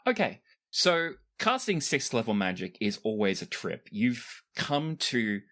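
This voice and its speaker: A man's voice: medium pitch, sing-song, a little slow, a bit bright. A man acting as game master, improvising descriptive narration for a tabletop game with casual asides.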